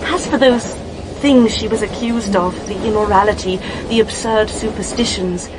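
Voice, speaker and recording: A woman's voice: mid-range voice, normal speed, noisy background, lightly acted. An actress playing an earnest, indignant young woman with a British accent and an emphatic, clipped delivery in a radio drama. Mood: indignant, bitter. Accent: British English.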